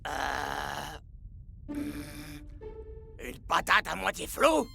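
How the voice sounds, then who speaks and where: A goofy young cartoon teammate, teasing and slangy. His voice is extremely high and piercingly sharp, with a rough, creaky grain. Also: big pitch swings, very slow, exaggerated voice. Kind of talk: animated cartoon dub acting. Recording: faint music behind the voice.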